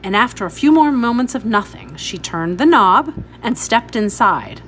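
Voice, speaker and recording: A woman's voice: fairly high pitch, lively intonation, fairly bright tone, slight background noise, poor audio. A woman reading a story aloud expressively, as a teacher would for pupils. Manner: instructive, pausing to point out details.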